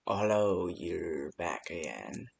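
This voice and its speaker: A man's voice: deep voice, big pitch swings, takes it slowly, vocal fry, a little mellow, a little hiss or hum. A man giving casual, improvised phone-call voice lines for a game, hesitant and rambling with many ums. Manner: casual, unpolished delivery. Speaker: phone-call guide in a fan horror game.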